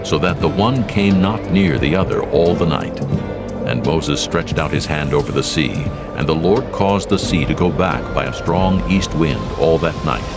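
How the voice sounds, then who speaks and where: Male narrator, extremely low, gravelly, solemn, measured scripture reading, prominent music. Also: animated.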